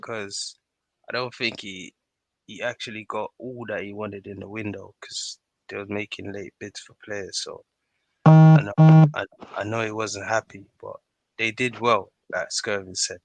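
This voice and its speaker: A man's voice: on the low side, a little slow, creaky, no echo, faint music behind, low-quality recording. A man giving his opinions in casual chat as a football podcast panellist.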